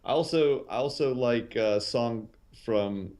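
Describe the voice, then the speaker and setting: A middle-aged man, a guest on a video call from a small office, chatting in a relaxed, modest way with easy laughs. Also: mid-range voice, a little slow. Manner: relaxed, modest, laughs easily, hesitant with fillers. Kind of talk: casual interview chat.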